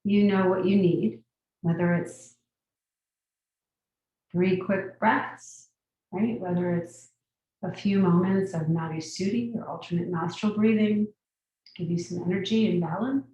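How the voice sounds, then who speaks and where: Slow-speaking woman in a very echoey room. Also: lowish voice, fairly even intonation, highs cut off. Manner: gentle, unhurried instruction with long pauses. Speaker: meditation teacher. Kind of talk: guided meditation. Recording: very echoey room, distant mic.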